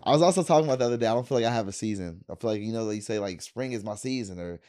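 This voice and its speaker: A young man in his twenties with a lowish voice, chatting casually on a podcast. He rambles through slang and fillers in a relaxed, loose way. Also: very fast. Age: 20s. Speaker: podcast host. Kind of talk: casual chat.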